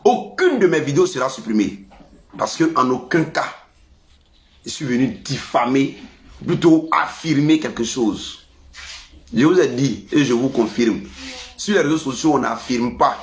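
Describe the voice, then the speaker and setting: A man's voice: unhurried, a little hiss or hum. Ivorian man scolding with a stuffy cold. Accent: Ivorian French. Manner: lively, scolding, animated pitch jumps. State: has a cold. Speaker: vlogger. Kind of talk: casual monologue to camera.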